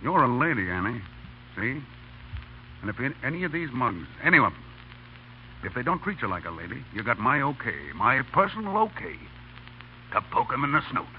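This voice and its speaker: An actor playing a tough, slangy, commanding gambling boss in a 1930s radio drama. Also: male voice, medium pitch, very animated, normal speed, pauses often, natural voice. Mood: firm, protective. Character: brash, commanding male big-city gambling gang boss. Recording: muffled, noisy 1930s radio broadcast.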